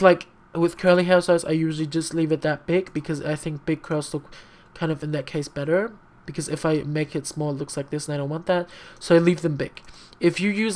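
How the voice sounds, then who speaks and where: Young non-native english speaker doing a casual screen tutorial, rambly, says um and like a lot, keeps apologizing, bit sassy. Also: medium pitch. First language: not English. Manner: rambling and chatty, many fillers, often apologizing; frank, slightly sassy asides. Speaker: tutorial maker.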